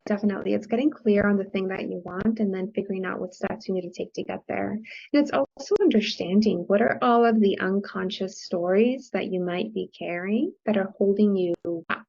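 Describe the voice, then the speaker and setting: A woman's voice: medium pitch, fairly quick, creaky, a little mellow, husky. A woman guest whose first language is Spanish, raised on the US-Mexico border, explaining money matters in an enthusiastic interview manner and often tagging sentences with "right?". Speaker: guest, financial coach. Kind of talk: conversational interview. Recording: dull lo-fi podcast audio.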